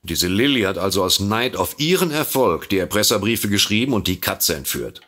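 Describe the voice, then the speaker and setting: An actor playing a sober, sceptical detective in a comedy mystery audio drama. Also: male voice, lowish voice, expressive, normal speed, bright, forceful tone. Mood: matter-of-fact. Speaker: amateur detective.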